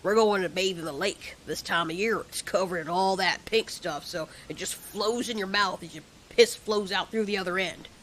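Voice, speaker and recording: A grumpy old male monster, acted out by a woman grumbles bluntly in a story drama. The voice is forceful and sharp, dropped lower, with faint noise behind it. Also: moderate pace, hardly any pauses, natural voice, rough recording. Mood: crude, grumpy. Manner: crotchety, blunt.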